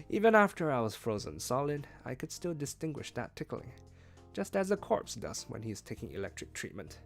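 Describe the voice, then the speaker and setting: A man reading a novel aloud as a streamed read-along, with expressive, emphatic phrasing. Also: mid-range voice. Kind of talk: read-along audiobook narration.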